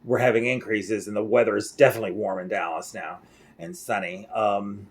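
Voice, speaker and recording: A man's voice: lowish voice, fairly even intonation, brisk, husky. A middle-aged man from Mexico with an American accent, talking casually and a little ramblingly in a daily video diary recorded at home, often laughing at himself. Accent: General American. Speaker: vlogger.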